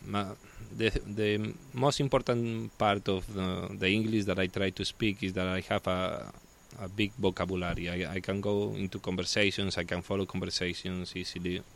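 A man from Spain with a Spanish accent, talking as a guest in casual chat, halting and restarting as he searches for words. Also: low voice, flat delivery, creaky.

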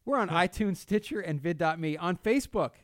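A man's voice: high voice, animated, a little fast, no room sound. A man hosting a podcast from a studio, brash and joking, swearing freely as he reads out plugs and chats with guests. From New Jersey. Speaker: podcast host. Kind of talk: casual podcast chat with read-out plugs.

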